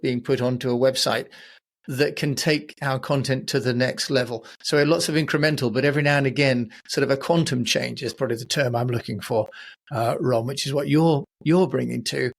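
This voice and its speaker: A man speaks as the host of an interview show. His voice sits at a middle pitch, and he phrases his thoughts in a measured, reflective, conversational manner. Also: steady tone, gravelly.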